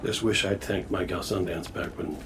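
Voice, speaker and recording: A man as a low-voiced, folksy gunslinger. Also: fairly even intonation, moderate pace, music in the background, natural voice. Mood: wistful. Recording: noisy game ambience behind voice.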